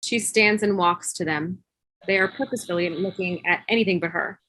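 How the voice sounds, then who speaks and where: A woman's voice: on the low side, a little fast, fairly bright tone, no room sound, poor audio. A woman reading scripted stage directions as narration on a video call. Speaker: stage-direction reader in a table read.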